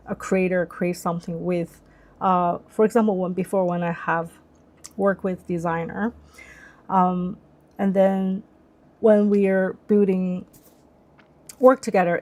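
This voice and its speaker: A woman's voice: lowish voice, darkish tone. A young woman whose first language is Chinese, hosting a casual live stream. She thinks aloud in English, often restarting sentences as she searches for words. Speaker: livestream host.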